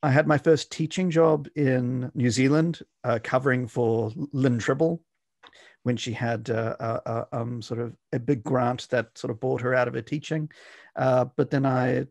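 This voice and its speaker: A man's voice: mid-range voice, steady tone, moderate pace, creaky, a little mellow, dry recording. A middle-aged man with a mixed South African and Australian accent, answering interview questions from home, hesitant with many fillers. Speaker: guest scholar. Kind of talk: conversational interview. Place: home room with bookshelves. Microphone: studio microphone on video call.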